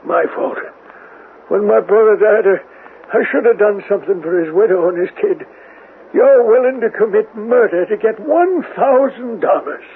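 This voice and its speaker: An actor playing a weary, remorseful old uncle, halting and sorrowful, in a 1970s radio drama. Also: male voice, high voice, vocal fry. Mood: remorseful, resigned. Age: older. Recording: noisy phone-like old radio drama recording.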